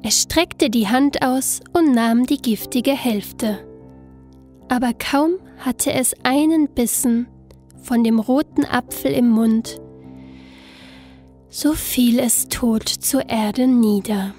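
A woman's voice: on the high side, takes it slowly. A woman narrating a scripted fairy tale as a storyteller. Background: soft instrumental music.

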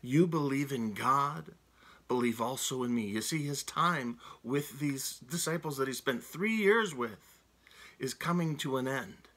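A man's voice: mid-range voice, unhurried, a bit bright. A middle-aged man preaching a sermon from a room at home into a webcam, earnest and often restarting his words. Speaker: pastor. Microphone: webcam, seated close.

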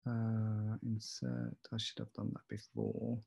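A man, an eye surgeon, giving a relaxed webinar lecture over a computer microphone, with plenty of ums. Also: on the low side, takes it slowly, creaky, slightly rough. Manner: relaxed, self-deprecating, frequent ums. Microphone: computer mic on a video call.

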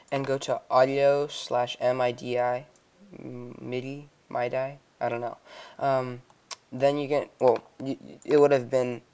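A young man giving a casual software tutorial in a hesitant, improvised way. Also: medium pitch, smooth. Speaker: tutorial host. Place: indoors, voice-over on a computer screen recording.

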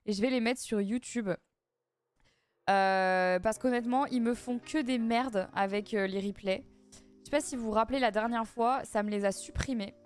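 A woman's voice: a little high, normal speed, no room sound. Chatty young Frenchwoman streaming. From France. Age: young adult. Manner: chatty, reading and answering chat messages. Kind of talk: casual chat with live viewers. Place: small dim room, indoors. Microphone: studio mic on boom arm, headset on.

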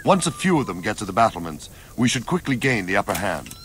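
An actor playing a grizzled older soldier in a video game, blunt, fatherly and resolute, with a measured, commanding delivery. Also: fairly low pitch, sing-song, a bit bright, slight background noise. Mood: resolute, instructing. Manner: measured, plain-spoken, commanding. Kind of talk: dramatic video game cutscene acting. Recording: music behind the voice.

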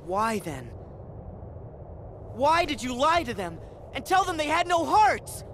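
A stubborn, openhearted teenage boy hero from a video game speaks with earnest feeling. His plainspoken delivery is halting, slow and very lively in pitch. Clear background ambience is heard. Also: noisy background, exaggerated voice. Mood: indignant.

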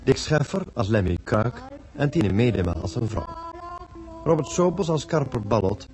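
A man's voice: medium pitch, expressive, moderate pace. A man reading scripted radio credits as an announcer. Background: music.

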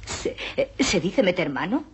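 An actress playing a prim, earnest schoolteacher in a film mock interview. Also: female voice, on the low side, big pitch swings, a little slow, noisy background. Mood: prim reminiscing. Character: prim, earnest female schoolteacher recalling a pupil.

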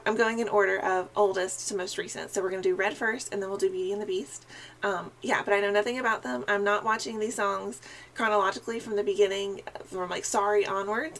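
A woman's voice: medium pitch, fairly bright tone. A young woman with a scratchy, slightly nasal voice from a sinus infection chats casually to camera in a small bedroom, saying um often. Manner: chatty, friendly, frequent ums. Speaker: music reaction vlogger. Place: small decorated bedroom, indoors.

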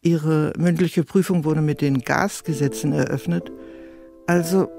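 A man's voice: on the high side, fairly even intonation, measured pace, vocal fry, a little mellow, soft background music. A man giving spontaneous expert remarks in an interview, searching for his words.

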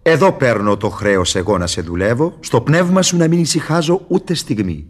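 An actor playing a sly, mocking devil tempter in a theatrical radio verse drama. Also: male voice, mid-range voice, sing-song. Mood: sly, persuasive.

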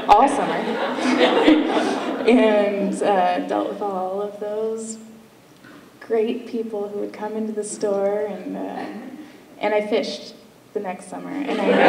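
A young woman tells stories as a panelist on a stage. Her voice has a creaky edge and a medium pitch. It is picked up from a distance in an echoey space. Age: late 20s to early 30s. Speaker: fishing boat co-skipper and panelist. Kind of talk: storytelling on a live panel. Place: auditorium stage, recorded from audience distance. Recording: echoey, distant, noisy hall recording.

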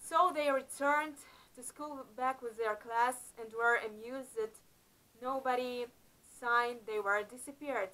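A middle-aged woman with a high, bright voice tells a faith-based adventure story to the camera. She speaks earnestly, with slightly halting phrasing and uneven emphasis, recorded up close in a small indoor room. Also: fairly even intonation, poor audio. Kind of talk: narrating a Bible-themed adventure story. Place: small indoor room before a painted backdrop. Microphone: camera close up in front of her.

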